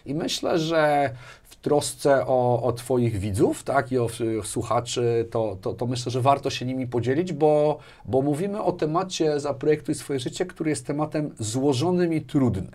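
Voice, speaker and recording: A man's voice: medium pitch, normal speed, a little mellow. A man chats casually as an interview guest, often repeating words and tagging phrases with a checking question. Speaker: podcast guest, technology executive. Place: small furnished studio with padded wall panels, indoors. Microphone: lapel microphone.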